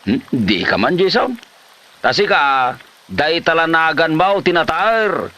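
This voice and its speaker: Guy acting a exasperated older village dad type, comedy drama, bright lively voice, lots of scolding. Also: on the high side, slow pace, exaggerated voice. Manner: scolding, exclamatory. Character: older village man, nagging, exasperated father-figure.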